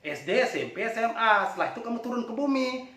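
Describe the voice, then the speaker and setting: A man's voice: very high-pitched, animated, fast talker, pushed and bright, voice pushed up, heavily acted. A man voicing an authoritative wind god who lectures and advises emphatically in a folk tale. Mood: lecturing. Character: male wind god, authoritative, scolding yet helpful mentor. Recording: echoey room, distant from mic.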